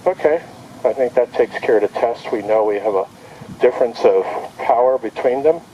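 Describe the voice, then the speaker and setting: A man's voice: medium pitch, moderate pace, warm tone, dull audio, slight background noise. A man giving a casual hands-on product demonstration outdoors, thinking aloud in short step-by-step remarks. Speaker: product reviewer. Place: outdoor backyard.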